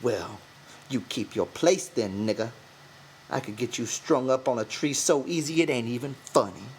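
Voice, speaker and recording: A scornful young ranch wife, played by a male narrator in an audiobook. The delivery is cold, taunting and rural, swinging in pitch over a faint room hiss. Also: lively intonation, normal speed, darkish tone, natural voice, rough recording. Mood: threatening. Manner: taunting, rural American vernacular. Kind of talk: audiobook character voice.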